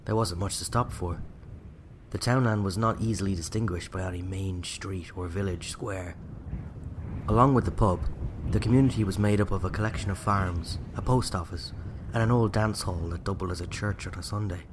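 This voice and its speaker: A man's voice: sits low, normal speed, background noise. A man with an Irish accent narrating a scripted first-person story.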